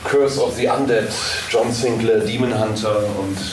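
A man's voice: medium pitch, fairly even intonation, measured pace. A man giving loose, rambling explanations as a guest in an informal live panel talk. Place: echoey convention room. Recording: very echoey room, distant, noisy.

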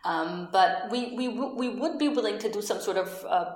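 A woman in her thirties answering interview questions in a halting way, with many fillers and restarts. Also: medium pitch, animated, roomy sound. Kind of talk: casual conversation.

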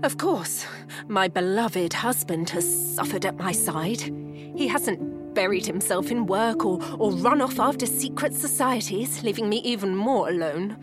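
An actress playing a grieving, bitter wife and lapsed writer in a 1930s noir audio drama, articulate and wry. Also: female voice, medium pitch, expressive, measured pace, over music, close to an everyday voice. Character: grieving, bitter English writer wife in 1937, sharp-tongued. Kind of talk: scripted gothic noir audio drama acting.

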